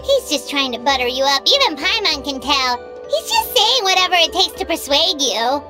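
A woman voicing a tiny, childlike fairy companion in a video game, squeaky, blunt and skeptical. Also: big pitch swings, moderate pace. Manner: speaks of herself in third person, blunt. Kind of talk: anime-style video game acting. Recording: game music underneath.

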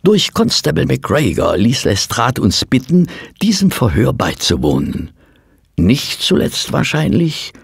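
A gentleman companion recounting a detective case in an audio drama. He is composed and measured, yet his low, husky voice moves widely in pitch. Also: no room sound, studio quality, exaggerated voice. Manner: measured storytelling. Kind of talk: scripted audio drama narration.

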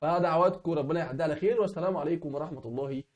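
A young Egyptian man with an Egyptian Arabic accent and a voice hoarse from a cold walks through a website in a screen-recorded tutorial. He keeps checking in with the viewer, asking "okay?". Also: fairly high pitch, racing, a little mellow. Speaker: online tutorial host.

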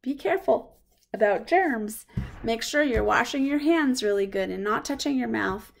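A woman reading a picture book aloud for young children in a simple, expressive way. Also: fairly high pitch, moderate pace, vocal fry. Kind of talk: children's storybook read-aloud.

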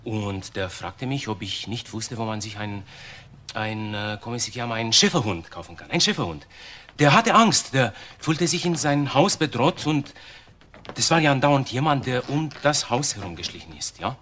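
Halting, evasive man playing a nervous waiter. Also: mid-range voice, lively intonation, a bit bright, slight background noise, lightly acted. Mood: nervous, explaining. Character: evasive, nervous male waiter questioned by police. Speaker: actor in a crime drama.

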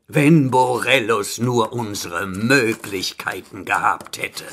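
A male actor playing a sinister, obsessive, ageless alchemist in a horror radio play, pompous and theatrical, with a husky voice. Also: lowish voice, a bit bright. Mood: grandiose. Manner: slow, pompous, theatrical declaiming. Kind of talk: horror audio drama acting.

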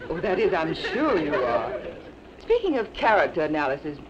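A scheming woman coaxes a man along with sugary flattery. Her voice moves lively up and down. The sound is dull and hissy, like an old film print. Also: sits low, moderate pace, a loud crowd. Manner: coaxing, sweetly flattering. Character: scheming woman posing as a sweet schoolteacher. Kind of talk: 1950s television drama acting.

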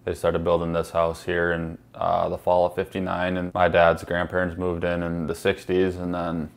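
A man's voice: low-pitched, monotone, normal speed. A young man with an American Upper Midwest accent, speaking casually in an interview in a matter-of-fact, understated way. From rural southern Minnesota, USA. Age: young adult. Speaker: college hockey player. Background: guitar music bed.

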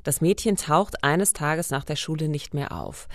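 A woman's voice: low voice, measured pace, dry recording, clean studio sound. A woman presenting a crime podcast as co-host, introducing and explaining.

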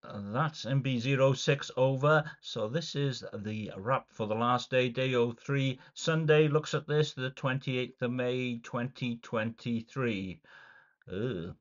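A man's voice: mid-range voice, slow pace, dull audio. Congested middle-aged man rambling wryly. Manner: rambling, wry and self-deprecating, with sighs and fillers. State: congested with a cold. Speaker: vlogger. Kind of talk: casual video diary. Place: dim room indoors.

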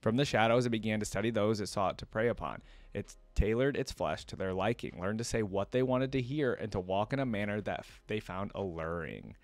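A man's voice: lowish voice, lively intonation, fairly quick, hardly any pauses, no room sound. A young adult man reading a story aloud and adding casual commentary as a reaction video host. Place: indoor room at a computer desk.

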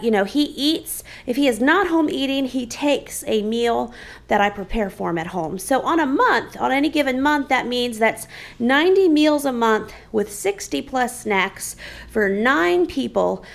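A woman's voice: high voice, vocal fry. A woman in her thirties, a home vlogger, chatting casually and rambling with lots of fillers while showing her groceries in her dining room. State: pregnant.

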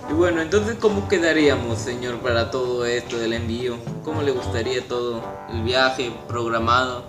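A teenage male talks in a polite, halting way in a small, echoey room. His pitch is average, and music plays behind him. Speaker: YouTuber making a prank call. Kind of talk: improvised prank phone call, posing as a prize agent. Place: small room at home, indoors. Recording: roomy echo.

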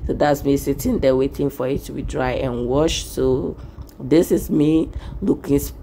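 A young woman with a hoarse voice, chatting casually to her phone camera in a hair salon, laughing often and acting out her friends' words. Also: a bass voice, fairly even intonation, moderate pace, mellow, a little hiss or hum. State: hoarse, lost voice. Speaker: vlogger. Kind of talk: casual vlog chat. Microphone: phone held at arm's length.

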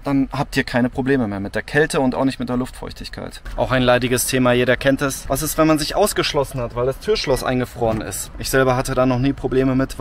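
A man in his thirties hosts a casual vlog from in and around a camper van. His voice sits at a medium pitch. He talks colloquially and with enthusiasm into a camera held at arm's length. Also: slight background noise. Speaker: vlogger, host. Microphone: handheld camera at arm's length.

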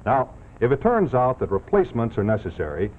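An American man in his thirties giving a folksy, emphatic 1950s television sponsor pitch as an announcer. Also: huge pitch swings, brisk. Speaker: commercial announcer. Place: television studio set. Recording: tinny 1950s TV soundtrack, faint noise.

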